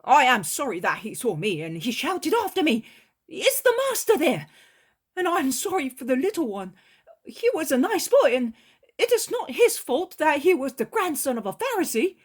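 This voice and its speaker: A woman voicing an excitable male fisherman who talks out of breath and with urgency. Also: high-pitched, moderate pace, pitched above the performer's own voice. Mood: urgent. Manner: urgent, agitated.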